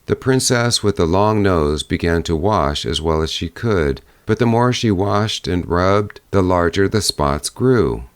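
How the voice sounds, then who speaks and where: A man narrating a fairy tale as an audiobook, in a measured storytelling manner. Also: deep voice, animated, clear, resonant tone, dry recording.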